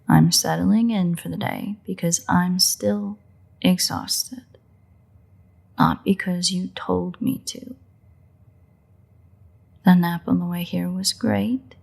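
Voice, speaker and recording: A woman's voice: on the low side, vocal fry, husky, heavily acted. A woman voicing a playful, cocky vampire in an intimate audio roleplay, drawling and teasing with wry sighs.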